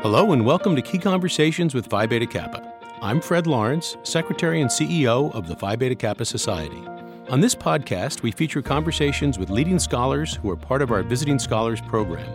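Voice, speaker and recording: A man hosting an interview podcast, speaking in a measured, formal way as he introduces his guest. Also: mid-range voice, lively intonation, music in the background. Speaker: podcast host.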